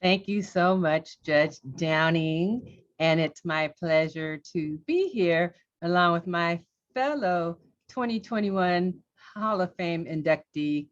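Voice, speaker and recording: A middle-aged woman from Los Angeles, a judge, telling her career story on a panel in a candid, lively way. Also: deep voice, takes it slowly, dull audio.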